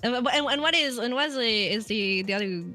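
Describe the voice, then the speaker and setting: A woman with a bright, forceful tone and a slightly raised pitch talks through a casual stream chat. She rambles, restarts her sentences, and gives blunt opinions. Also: expressive, brisk, soft background music. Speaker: streamer co-host.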